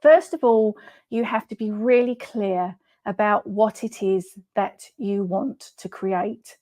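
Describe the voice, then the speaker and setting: A woman's voice: medium pitch, slow, mellow. A middle-aged woman coaching in a chatty pre-recorded live video, nasal from a head cold and using lots of fillers. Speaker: wellness coach.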